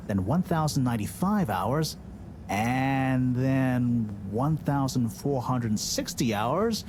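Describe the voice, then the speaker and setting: A man's voice: mid-range voice, noisy background. Awkward nerdy male scientist. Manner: halting, rambling, earnest. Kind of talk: scripted video game dialogue.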